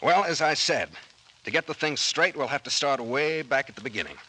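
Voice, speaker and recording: Confident detective captain, vintage radio serial, lively pitch swings, forward bright tone, brisk storyteller. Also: male voice, slightly rough, exaggerated voice, rough recording. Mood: explaining, assured. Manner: brisk, slangy, expository. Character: confident male detective captain explaining a mystery. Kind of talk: 1940s radio drama acting.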